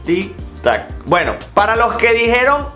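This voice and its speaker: A man's voice: high-pitched, big pitch swings, measured pace, bright, forceful tone, faint music behind. A man in his thirties with a Venezuelan Spanish accent, chatting casually to his viewers in a vlog, often asking them questions. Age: 30s. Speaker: vlogger. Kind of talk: casual direct-to-camera chat. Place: home in Portugal.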